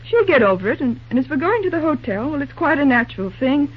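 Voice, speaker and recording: Spirited young railroad office gal, high swoopy voice, brisk and outspoken, dull old radio recording. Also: female voice, sing-song. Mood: frank, defiant. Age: young adult. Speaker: radio drama actress. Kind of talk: old-time radio drama acting.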